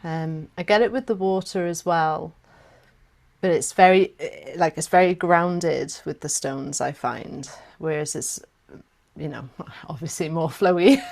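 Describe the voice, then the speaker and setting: A woman's voice: on the low side, gravelly, darkish tone. A woman from South Wales with a South Welsh accent, chatting and laughing often as she leads a live online chant workshop over a video call.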